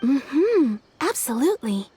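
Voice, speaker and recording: A woman's voice: high voice, big pitch swings, slow, noisy background, heavily acted. A woman playing a courteous, helpful tailor and shopkeeper in an anime-style video game, speaking politely and obligingly. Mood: pleased, obliging.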